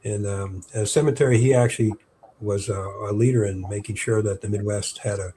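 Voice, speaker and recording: A man's voice: lowish voice, steady tone, a little fast, dark tone, a little hiss or hum. A man in his seventies speaking as a guest in a casual interview, rambling with many fillers and restarts. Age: 70s. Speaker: park historian guest.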